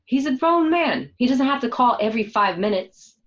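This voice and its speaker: A woman acting a candid, conversational young married woman in a radio play, quick to get defensive. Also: high-pitched, lively intonation, a bit bright. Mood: exasperated, defensive. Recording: dull, lo-fi home recording.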